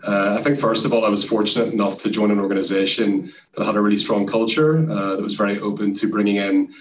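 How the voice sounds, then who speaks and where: An Irishman speaking as a guest in a casual interview, relaxed and chatty with frequent fillers. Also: on the low side, fast, distant mic, a little hiss or hum. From Ireland. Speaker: guest, sales executive.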